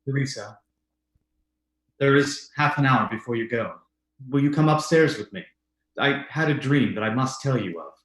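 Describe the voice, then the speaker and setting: An actor playing an earnest, haunted bereaved husband, with halting, intense, formal delivery in old-time radio drama style. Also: male voice, medium pitch, expressive, a bit bright, natural voice. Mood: grave. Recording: roomy, echoing sound.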